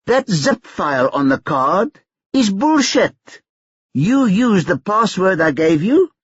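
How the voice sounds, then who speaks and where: A man's voice: sits high, very animated, slow pace, husky, no room sound, highs cut off, voice pushed up, full character voice. Man doing a cocky swearing young hustler. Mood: taunting. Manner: taunting, swears a lot. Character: defiant young male hustler, cocky and crude.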